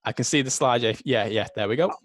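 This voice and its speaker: A man's voice: mid-range voice, fast, dry recording. A man organising an online session, chatting casually and reassuring the speaker in short bursts over a video call. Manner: reassuring, brief interjections.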